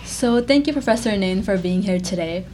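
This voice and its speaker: A woman's voice: medium pitch, animated, moderate pace. Woman hosting a podcast interview. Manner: polite, brief affirmations. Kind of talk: interview questions. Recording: faint ambient noise.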